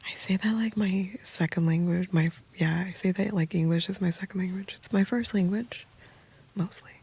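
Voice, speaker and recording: A woman whispers in a low, gravelly, breathy murmur, rambling softly and intimately. Her tone stays mellow and flat. She is lying on the floor of a small sound booth. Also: moderate pace. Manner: soft whispering, meandering and intimate. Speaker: host. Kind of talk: whispered late-night rambling.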